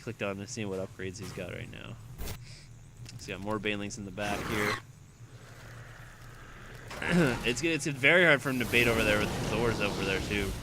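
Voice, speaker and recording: A young man with a raspy voice calling a strategy game alone, excitable and quick to shout when fights break out. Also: lowish voice, heavy background noise. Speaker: esports caster. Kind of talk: solo play-by-play game commentary.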